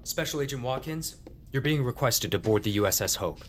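Man acting a brisk, fast-talking aide. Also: mid-range voice, animated, background noise, close to an everyday voice. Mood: brisk, polite. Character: brisk, polite messenger relaying orders to agents. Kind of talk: audio drama acting.

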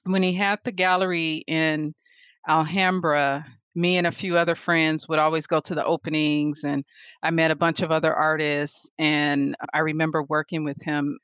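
A woman's voice: low-pitched, moderate pace, clear, resonant tone, no room sound. A woman in her forties, a guest artist telling stories in a casual interview, laughing often. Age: 40s. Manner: animated storyteller, laughs often.

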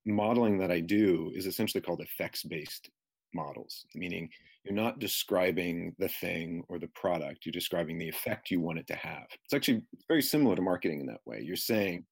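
A man's voice: low voice, animated, brisk, creaky, husky. A man, a futurist guest in an interview, explaining his ideas in a conversational, persuasive way.

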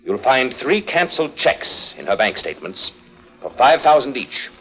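An actor playing a bitter, self-assured widowed husband, speaking with formal insistence in a 1940s radio drama. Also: male voice, mid-range voice, big pitch swings, normal speed, fairly bright tone, husky. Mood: accusing. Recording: muffled vintage 1940s radio recording.

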